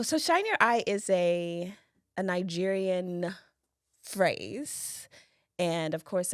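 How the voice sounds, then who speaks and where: A woman co-hosting a casual podcast chat, chatty and quick to laugh. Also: fairly low pitch, no room sound, studio quality. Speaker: podcast co-host.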